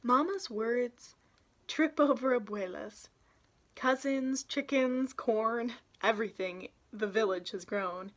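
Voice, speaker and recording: A young adult woman reading a children's picture book aloud in an informal storytelling manner. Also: on the high side. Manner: informal, pauses to point out illustrations.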